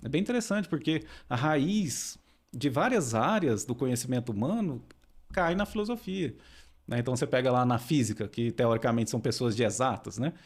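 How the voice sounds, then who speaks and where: Calm man explaining philosophy. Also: medium pitch, fairly quick. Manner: calm explaining, frequent tag questions. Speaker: philosophy teacher, guest. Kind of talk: podcast interview.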